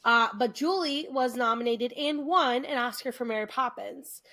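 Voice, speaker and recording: An American woman co-hosting a podcast, chatting casually, animated and quick to restart her sentences. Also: a little high. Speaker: podcast co-host. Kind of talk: casual chat.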